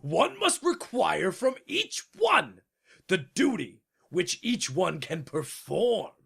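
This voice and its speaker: Pompous old monarch for radio drama: high, swooping, theatrical delivery with heavy rasp. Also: male voice, very animated, slow pace, heavily acted. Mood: imperious. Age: older. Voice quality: gravelly, raspy. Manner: grandiose, declaiming, with hesitations and sudden shouts. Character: pompous, lonely old king ruling a tiny planet.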